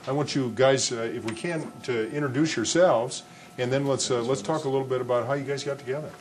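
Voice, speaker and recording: A man hosting a casual city-TV interview, talking easily with frequent pauses and restarts. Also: mid-range voice, a little hiss or hum. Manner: relaxed, many uhs and restarts. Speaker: host.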